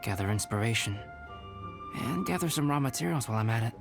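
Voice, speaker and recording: A man voicing a calm, composed young male alchemist and painter who explains things in a measured way, in a video game cutscene. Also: mid-range voice, vocal fry. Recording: game cutscene with background music.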